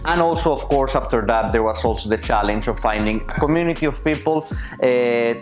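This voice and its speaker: A man's voice: medium pitch, brisk. Thoughtful man, faint music behind. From Colombia. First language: Spanish. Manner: thoughtful, searching for words. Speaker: interviewee. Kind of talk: personal interview answers.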